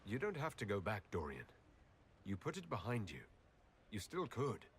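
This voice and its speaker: A loyal, sincere heroic leader from a fantasy game. His voice is low and straightforward, with expressive movement in pitch. Faint noise runs behind it. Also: moderate pace. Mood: concerned. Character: earnest, caring heroic leader and loyal friend. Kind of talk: video game cutscene acting.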